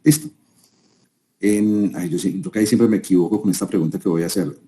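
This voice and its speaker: Colombian male speaker, low and very dark voice, chatty seminar delivery to a room. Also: steady tone, a little fast. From Colombia, Quindío. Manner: conversational, asks the audience rhetorical questions. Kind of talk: live talk to an audience. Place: room with a live audience.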